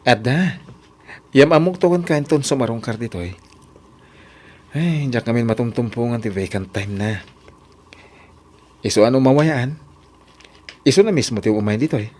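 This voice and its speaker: A man's voice: mid-range voice, animated, moderate pace, long pauses, a little mellow, lightly acted. Male actor as earnest young working man, Ilocano accent, reserved, mid-range voice, radio drama delivery. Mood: weary, confiding.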